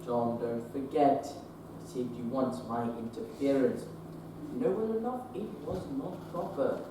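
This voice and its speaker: A man's voice: medium pitch, unhurried, natural voice. A young man acting a wry, guarded Victorian doctor on stage, telling a dry story with chuckles. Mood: irritated. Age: young adult. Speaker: stage actor. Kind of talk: live stage play acting. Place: theatre stage. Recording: echoey stage recording from far off, noisy.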